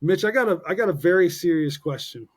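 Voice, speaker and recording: A man's voice: high voice, animated, fast. A man in his forties co-hosting a podcast, chatting casually and upbeat, ribbing his co-host with playful jokes. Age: 40s. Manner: upbeat and joking, with many fillers and restarts.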